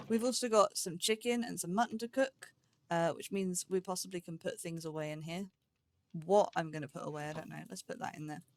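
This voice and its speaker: A woman's voice: lowish voice, steady tone, brisk, a little mellow, no echo. A woman giving casual gameplay commentary, chatting and thinking aloud to herself as she goes. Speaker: host of a gaming let's play series.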